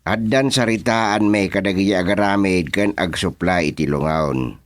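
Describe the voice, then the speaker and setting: A man's voice: deep voice, moderate pace, dry recording, natural voice. A man with an Ilocano accent playing a scheming, persuasive village chairman in a comedy radio drama. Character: scheming village chairman planning a coffin business.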